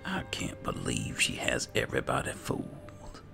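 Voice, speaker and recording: Uomo dalla voce profondissima e molto roca. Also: male voice, rumbling low, wildly swooping pitch, normal speed, vocal fry. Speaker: gaming channel host role-playing as an alchemist. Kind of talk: slow, musing in-character game roleplay commentary. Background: game music.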